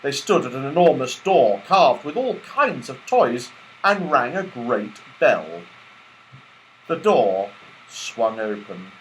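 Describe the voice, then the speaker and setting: Male children's story reader, expressive and animated, high voice, slight noise behind. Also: slow pace, low-quality recording. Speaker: audiobook reader.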